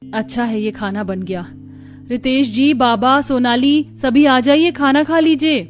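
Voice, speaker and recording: Lady doing devoted worried young wife, highish voice, cartoon story, light music. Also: a little slow. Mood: calling out. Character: devoted, anxious young wife and daughter-in-law. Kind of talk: animated Hindi family story acting.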